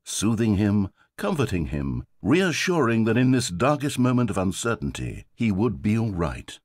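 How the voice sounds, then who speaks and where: A man's voice: lowish voice, big pitch swings, normal speed, darkish tone, no room sound. An actor voicing a sardonic, self-important male video game narrator, telling the story in a dry, sarcastic, mock-grand way.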